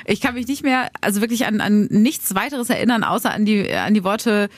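A woman's voice: mid-range voice, very animated, fairly quick, dry recording. Young woman telling stories, dry close sound. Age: young adult. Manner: warm storytelling with frequent giggles and fillers. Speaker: podcast co-host. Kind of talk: casual chat.

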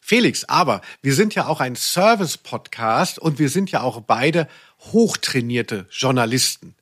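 A man's voice: medium pitch, expressive, measured pace, fairly bright tone, slightly rough. A man co-hosting a podcast, chatting casually about audio dramas in a playful, mock-serious way. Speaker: podcast co-host.

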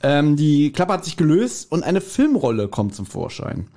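Male German podcast co-host, slightly high voice, colloquial and teasing, casual recap chat. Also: big pitch swings, moderate pace, warm tone.

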